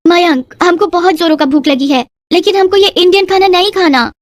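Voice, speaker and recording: A woman voicing a cheerful, clueless young bride in a story, speaking slow, sing-song broken Hindi. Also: very high voice, no room sound, studio quality, voice pushed up. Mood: hungry, excited.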